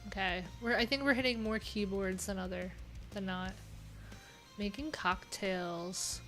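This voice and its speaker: A woman's voice: mid-range voice, fairly even intonation, a little slow, a bit bright. Chatty woman streamer over faint music. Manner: chatty, loose asides with frequent fillers. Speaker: livestream host. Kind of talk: casual livestream chat, reading viewer answers aloud. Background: pop punk music playing.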